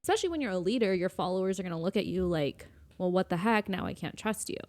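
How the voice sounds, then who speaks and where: A woman's voice: lowish voice, expressive, fast talker, a little mellow. A woman co-hosting a podcast in an informal, opinionated conversational style, often checking in with the listener. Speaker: podcast co-host. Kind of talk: casual conversational podcast chat. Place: indoors, relaxed seated setup.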